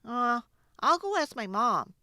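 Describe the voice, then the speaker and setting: Curious, easygoing neighbor boy for a storybook, expressive and closely recorded, voiced by a woman. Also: fairly high pitch, a little slow, dry recording, studio quality, voice pushed up, heavily acted.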